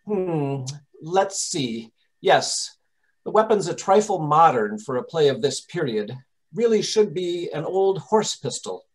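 A man playing a brisk, impatient gentleman detective giving orders in old-time radio drama style. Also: a little high, sing-song, low-quality recording. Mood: calm, considering. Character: brisk, commanding, impatient English gentleman detective directing rehearsal. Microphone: video call.

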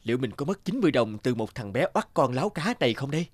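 A man's voice: mid-range voice, sing-song, quick pace, husky, no room sound. An actor playing a big, sceptical cartoon businessman who bargains in a condescending manner. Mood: wary. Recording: music behind the voice.

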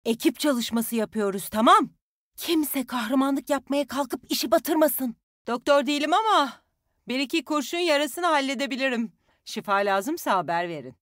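A woman's voice: high-pitched, expressive, moderate pace, fairly bright tone, no room sound, clean studio sound. An actress playing a spirited, tough young woman fighter in a video game, with folksy, confident call-outs to her team. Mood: determined, encouraging. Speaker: game character voice actor.

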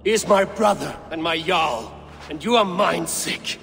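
A woman's voice: fairly low pitch, lively intonation, measured pace, creaky, slight background noise, heavily acted. An actress voicing a fierce, defiant Viking warrior woman in a video game, speaking bluntly. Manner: defiant, blunt, shouting threats. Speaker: game hero. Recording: echoey game audio with music.

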